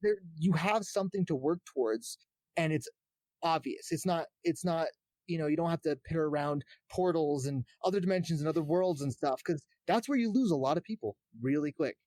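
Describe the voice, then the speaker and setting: A man's voice: on the high side, a little fast, a little mellow, dry recording. A man chatting casually as a podcast guest, earnest and a bit hesitant, often restarting his thoughts. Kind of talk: casual chat about games and films.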